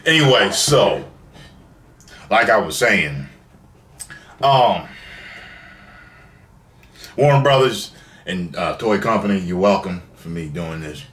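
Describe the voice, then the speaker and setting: A man's voice: deep voice, animated, slow, pauses often, a bit bright, far from the mic. A man hosting a casual unboxing video, chatting in a playful, slangy, exclamatory way. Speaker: video host. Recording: roomy, off-mic, faint noise.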